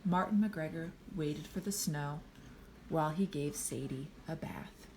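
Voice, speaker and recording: A woman reading a children's storybook aloud expressively, pausing to speak to a young listener. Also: low-pitched, background noise. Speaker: storybook reader.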